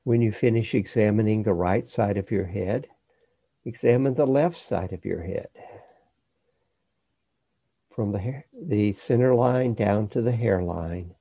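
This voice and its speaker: A man teaching a guided body scan meditation, giving measured instructions in short phrases with pauses between them. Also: on the low side, dark tone, dry recording, lo-fi sound.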